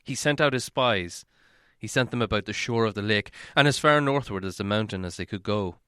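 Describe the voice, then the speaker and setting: A man's voice: fairly low pitch, fairly quick, bright, forceful tone, clear, resonant tone, no echo. Man reading a storybook, dry close sound. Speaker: audiobook reader. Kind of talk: expressive scripted storybook narration.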